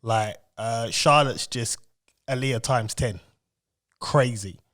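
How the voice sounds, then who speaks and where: A man's voice: medium pitch, slow pace, a bit bright. A middle-aged man chatting on a podcast, a laid-back storyteller who laughs often. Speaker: podcast co-host. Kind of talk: casual chat.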